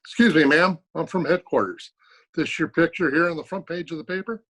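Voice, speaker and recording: A man's voice: a little high, brisk, sharp tone, a little hiss or hum. A man playing a stern, suspicious police detective in an old-time radio comedy-mystery, clipped and authoritative.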